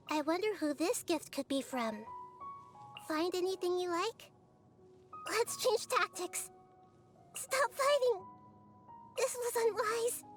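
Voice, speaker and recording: A little girl goddess from an anime game, gentle and wise beyond her years. Her voice is very high, light and girlish. She speaks slowly and thoughtfully, with earnest curiosity, over faint music. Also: exaggerated voice. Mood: bright, determined. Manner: soft, measured, thoughtful, earnest. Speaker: video game voice actor. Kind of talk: English-dubbed anime game character lines.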